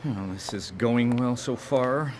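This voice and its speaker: A man's voice: medium pitch, slow, very noisy, close to an everyday voice. A man playing an awkward, stammering, defensive blind-dater in a radio drama.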